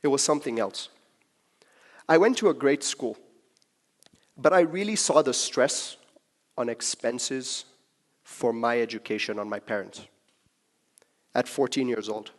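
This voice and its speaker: A man's voice: medium pitch, unhurried, pauses often. A young man from Kenya giving a personal talk to a live audience, conversational and joking with the crowd. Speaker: speaker at a talk.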